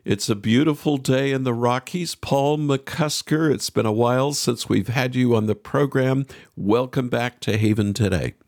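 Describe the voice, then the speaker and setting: A man's voice: medium pitch, normal speed, few pauses, a little mellow, no room sound, clean studio sound. An American man hosting a radio interview programme, introducing things and putting questions to a guest.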